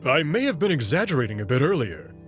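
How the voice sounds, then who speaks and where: A man's voice: fairly high pitch, very animated, fast, soft background music. A man reading a guarded wolf ship captain. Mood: measured.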